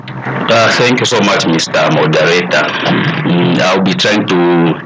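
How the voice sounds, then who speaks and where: A man with a Zambian accent speaking as an expert panelist, explaining deliberately and switching between two languages. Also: sits low, heavy background noise. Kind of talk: explanatory talk mixing English and Bemba.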